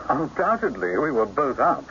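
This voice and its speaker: A man acting a brusque, condescending young country gentleman in a radio drama. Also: mid-range voice, very animated, measured pace. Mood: dismissive, uneasy. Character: haughty young English country gentleman, dismissive, impatient. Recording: muffled radio drama recording, faint noise.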